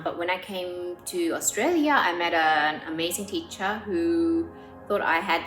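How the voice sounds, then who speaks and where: Earnest woman in a roomy space. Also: lowish voice. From South Korea. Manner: earnest and warm, with frequent "um" pauses. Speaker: pianist and composer. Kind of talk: documentary interview. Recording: roomy sound with faint music behind.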